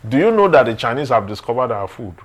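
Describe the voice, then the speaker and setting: A man's voice: mid-range voice, big pitch swings, quick pace. Nigerian man in emphatic discussion. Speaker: panel discussant on current affairs. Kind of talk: opinionated discussion.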